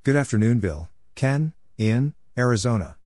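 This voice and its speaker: A man's voice: medium pitch, lively intonation, moderate pace, dark tone, a little hiss or hum. A man reading out radio code practice exchanges, spelling letters and numbers one at a time in short separate phrases. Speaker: Morse code practice narrator. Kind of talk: spoken readout for code practice.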